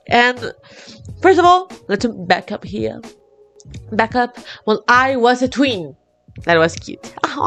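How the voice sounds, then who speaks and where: This is an excitable young woman whose first language is Spanish, speaking English with a Latin American accent. Her voice sits a little high and jumps around as she chats and laughs with her viewers. Faint music plays quietly behind her. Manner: bubbly and excitable, laughs often, lively swings in pitch. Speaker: vlogger. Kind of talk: casual chat to camera.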